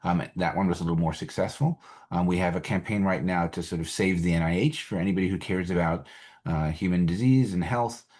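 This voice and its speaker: A man's voice: low-pitched, fairly quick, darkish tone. A man speaking informally as a public health activist, urging people to get involved. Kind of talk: informal rally speech.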